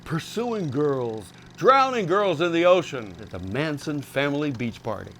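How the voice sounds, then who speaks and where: A man's voice: a little high, big pitch swings, measured pace, very noisy. A man hosting with wry, jokey, mocking commentary on old films. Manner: sardonic, jokey. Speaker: host.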